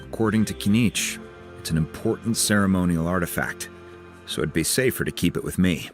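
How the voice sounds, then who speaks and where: A man playing an easygoing, gruffly kind fatherly mentor, measured and avuncular, in a video game. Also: sits low, close to an everyday voice. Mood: casual. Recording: music under the voice.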